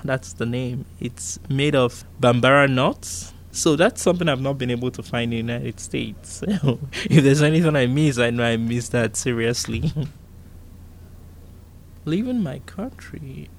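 A man's voice: medium pitch, unhurried. A man in his thirties from Nigeria, telling his life story in an interview in an earnest, reflective way and repeating points for emphasis. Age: 30s. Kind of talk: recorded personal interview.

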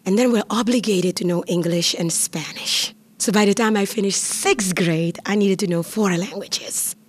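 Lively woman telling her story. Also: medium pitch. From Curaçao. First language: Papiamentu. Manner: lively, chatty, laughs often. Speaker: interviewee. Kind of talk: personal storytelling.

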